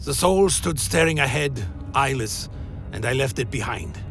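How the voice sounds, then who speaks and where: An actor playing a weary undead vampire wanderer, telling his journey in solemn, literary phrasing for a dark fantasy radio play. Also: medium pitch, animated, moderate pace. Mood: solemn, brooding. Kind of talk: dramatic first-person scripted narration, dark fantasy radio play. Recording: music behind the voice.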